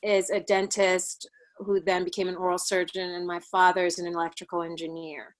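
A thoughtful woman from Ghana in her forties, speaking as an interview guest. She recounts her path in a measured way, with frequent ums and small laughs between thoughts. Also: lowish voice, little pitch movement, rough recording. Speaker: plastic surgeon guest. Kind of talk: interview, life story.

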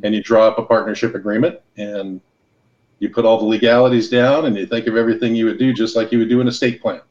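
A man's voice: on the low side, fairly even intonation, fast, a little mellow, dull audio. A middle-aged American man talking as a podcast guest, explaining business casually in plain, colloquial language. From Los Angeles and Hawaii, United States. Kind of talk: casual conversational interview, explaining with anecdotes.

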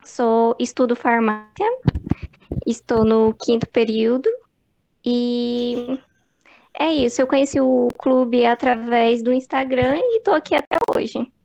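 A woman's voice: fairly high pitch, fairly even intonation, slow pace, warm tone, muffled recording, slight background noise. A young woman with a Brazilian accent, a student casually introducing herself to a group. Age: young adult. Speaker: book club member, student.

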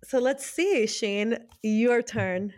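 A woman with a fairly high voice talks casually as a podcast co-host. She is giggly and gushing, with slangy, informal phrasing throughout. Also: takes it slowly, darkish tone. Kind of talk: casual chat with tarot card readings.

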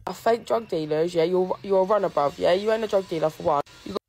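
A woman's voice: on the low side, fast, a little mellow, soft background music. A woman venting brashly to camera in a social media clip, full of "yeah" and "innit".

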